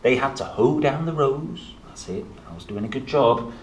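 A man in his thirties reading a picture book to young children, playful and expressive, with chatty asides. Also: medium pitch, normal speed. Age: 30s. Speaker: storyteller, host reading children's books. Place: indoor room, seated at a table. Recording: roomy echo, some background noise.

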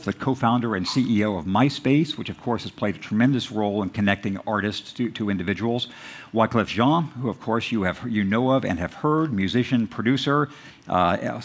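A man formally introducing the members of a conference panel in a measured way. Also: on the low side. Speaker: event presenter.

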